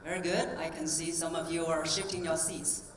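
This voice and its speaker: A woman voice coach giving an energetic keynote talk, coaxing the audience through exercises on stage. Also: deep voice, a little fast, a little hiss or hum. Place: large conference hall on stage. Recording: roomy hall, distant mic, faint ambience.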